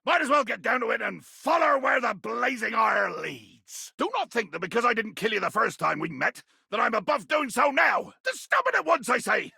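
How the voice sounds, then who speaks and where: A ranting pirate berserker for a video game, comically menacing and full of florid threats. His voice is very gravelly and badly rasped, pitched high for a man. He delivers every line with grandiose bombast, ready to erupt into a roar. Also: animated, normal speed, few pauses, bright, forceful tone, no room sound, studio quality, exaggerated voice. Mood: menacing, indignant. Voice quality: gravelly, raspy. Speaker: voice actor. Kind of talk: theatrical video game character acting.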